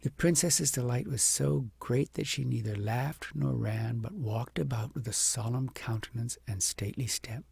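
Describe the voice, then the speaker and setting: A man with a gravelly, husky voice narrating a storybook in a measured, deliberate and expressive way. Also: medium pitch, no echo. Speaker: audiobook narrator.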